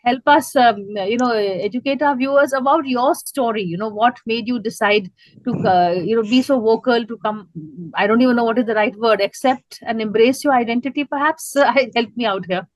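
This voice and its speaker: A woman hosting a TV interview show, introducing guests and asking questions with some gentle hesitation. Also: fairly high pitch, moderate pace, dry recording, low-quality recording. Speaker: TV news host.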